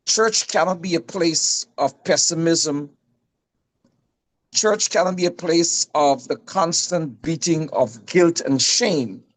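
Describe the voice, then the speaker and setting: A man with a Guyanese accent making emphatic, deliberate panel remarks, repeating his phrasing. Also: a little high, poor audio.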